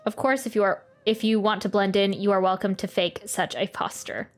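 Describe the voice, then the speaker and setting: A woman's voice: mid-range voice, fairly even intonation, fairly quick. A woman doing a casual video game playthrough, reading game text aloud and adding wry asides. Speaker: game streamer. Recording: game music behind the voice. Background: video game music.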